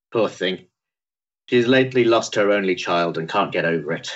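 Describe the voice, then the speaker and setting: Man playing husky, bluff Victorian doctor. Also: low voice, a little slow, fairly bright tone, muffled recording. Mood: dry, frank. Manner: dry, bluff, wry. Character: blunt, dry-humoured Victorian army physician, middle-aged man. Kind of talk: period stage drama acting.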